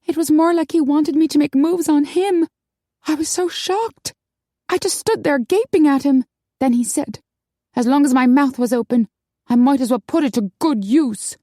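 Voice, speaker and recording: Audiobook casting: woman performer as sweet, wide-eyed young vampire woman, high girlish voice, emotional, very dry sound. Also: normal speed, dark tone, no room sound, natural voice. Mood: tearful, horrified. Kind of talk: audiobook character acting.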